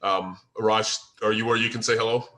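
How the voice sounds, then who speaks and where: A man hosting an informal group discussion, explaining things in an earnest, deliberate way. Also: fairly low pitch, steady tone, sharp tone. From United States. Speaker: host and co-writer of a script table read.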